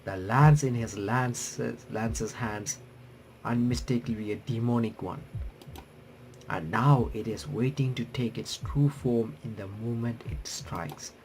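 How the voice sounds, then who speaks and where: A man reading game text aloud and adding casual, halting commentary as a playthrough streamer. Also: medium pitch, darkish tone, slight background noise, poor audio. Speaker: YouTuber playing and reacting to a visual novel.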